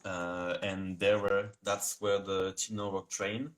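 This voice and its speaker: A young man from France with a French accent, answering interview questions in English over a video call, halting and searching for words. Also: low voice, little pitch movement. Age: young adult. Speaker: guest.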